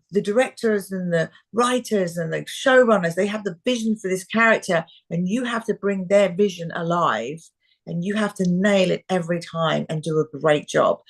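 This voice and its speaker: A woman talent agent on a video call, talking frankly with lots of fillers, her voice a little hoarse. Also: mid-range voice. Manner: frank, many fillers and restarts. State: slightly hoarse. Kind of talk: live video call chat. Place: quiet room in a rental house.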